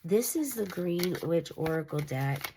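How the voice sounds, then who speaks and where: A woman's voice: lowish voice, expressive, a little slow, noisy background. A congested, nasal woman giving a casual, meandering tarot reading. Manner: meandering, reassuring, with fillers and restarts. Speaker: tarot reader.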